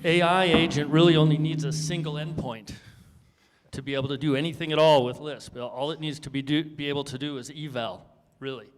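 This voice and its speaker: A man's voice: fairly high pitch, fairly even intonation, brisk, long reverb tail, faint music behind. A man on a panel, explaining his views and thinking aloud as he goes. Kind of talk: panel discussion.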